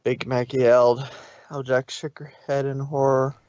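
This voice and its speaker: A man's voice: mid-range voice, flat delivery, moderate pace, a little mellow, dry recording, highs cut off. A man steadily narrating a fanfiction reading. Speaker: reader.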